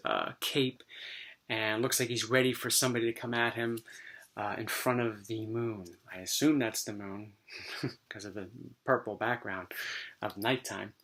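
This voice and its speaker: A man getting over a cold, with a dry, slightly raspy voice, chatting casually about his comic book collection. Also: mid-range voice. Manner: relaxed, rambling, many fillers. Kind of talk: casual show-and-tell chat.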